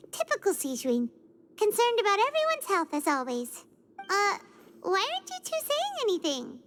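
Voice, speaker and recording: A woman voicing a tiny, excitable, childlike fairy companion in a video game, chatty and exclaiming. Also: very high-pitched, measured pace, noisy background, exaggerated voice. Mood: chatty, puzzled. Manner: refers to self in third person, exclaiming. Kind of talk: video game character voice acting.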